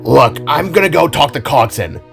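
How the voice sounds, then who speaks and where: Man doing a exasperated male hero, raised pitch, rambling, strained, fantasy audio drama. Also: lively intonation, moderate pace, gravelly, a bit bright, faint music behind. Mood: exasperated, flustered.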